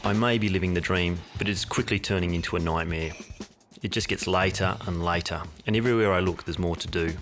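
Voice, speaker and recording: A man narrating a documentary in a measured, wry storytelling manner. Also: low-pitched. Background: music bed.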